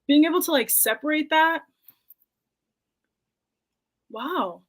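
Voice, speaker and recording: A woman's voice: high voice, takes it slowly. A woman in her twenties, a podcast guest, talking candidly and reflectively in casual conversation. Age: 20s. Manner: candid and reflective, quotes her own past thoughts.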